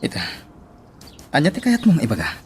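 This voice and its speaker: An actor playing a hurt, probing friend in an Ilocano radio drama. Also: male voice, fairly high pitch, huge pitch swings, moderate pace, noisy background. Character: hurt, probing man confronting his close friend.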